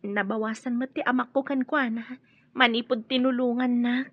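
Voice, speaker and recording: Actress as a high, husky, wary young woman. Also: steady tone, moderate pace, no room sound, natural voice. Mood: uneasy. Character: wary, fearful young woman torn by a family feud. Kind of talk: radio drama acting. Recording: muffled, lo-fi radio drama audio.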